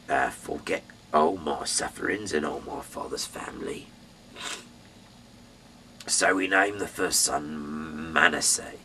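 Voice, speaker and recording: A man from the UK reading scripture aloud in a dramatic, halting way, swinging between emphatic bursts and breathy, hushed delivery. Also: a bass voice, slight background noise, poor audio. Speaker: Bible reader, amateur narrator.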